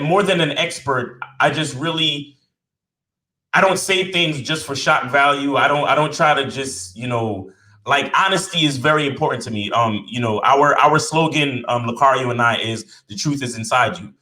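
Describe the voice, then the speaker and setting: Man, middle-aged, from Brooklyn, bright tense voice, echoey room, humble, lots of fillers. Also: medium pitch, moderate pace. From born in Jamaica, raised in Brooklyn, New York. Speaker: podcast guest, dating coach. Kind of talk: casual interview chat.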